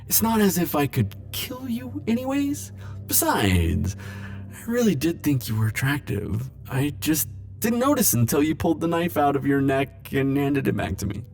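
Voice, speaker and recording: A man playing a lovesick, world-weary immortal in an intimate romantic audio roleplay, speaking haltingly and emotionally. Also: a little high, big pitch swings, creaky, faint music behind. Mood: wry, flirtatious. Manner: halting, emotional, stammering confessions with soft wry asides.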